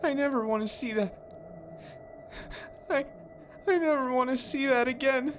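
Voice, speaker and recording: Woman voicing a hesitant, slow-talking young schoolboy. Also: high-pitched, faint music behind. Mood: shaken, fearful. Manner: hesitant, halting. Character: frightened, shy young schoolboy confiding in a detective.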